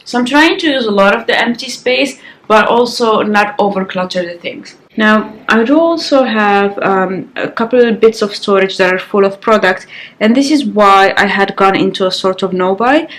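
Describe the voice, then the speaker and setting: A woman in her thirties, slightly raspy, chatting casually to camera at home with many ums and restarts. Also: mid-range voice, normal speed, fairly bright tone, roomy sound. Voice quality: raspy in the morning. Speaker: vlogger. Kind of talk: casual vlog chat to camera. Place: sunlit living room at home, indoors. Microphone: compact camera on-board mic.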